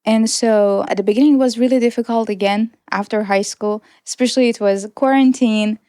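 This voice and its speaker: A woman's voice: on the high side, steady tone, a little fast, dark tone, no room sound, studio quality. A young woman in her twenties, originally from Iran, earnestly telling her personal story as an interview guest. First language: Persian. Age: 20s. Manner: reflective, earnest, with small restarts and light laughs. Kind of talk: personal testimony in conversation.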